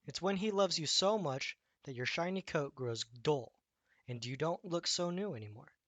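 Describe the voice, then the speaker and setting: A man voicing a wise old toy horse in a children's storybook, explaining things gently and patiently. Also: on the high side, expressive, normal speed, no echo. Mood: gentle, wise.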